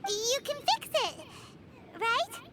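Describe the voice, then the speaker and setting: Squeaky, childlike little fairy girl. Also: sing-song, slow, heavy background noise, heavily acted. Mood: eager. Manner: exclaiming, speaks of herself in third person. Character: small excitable girl fairy companion, childlike and expressive. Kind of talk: video game voice acting.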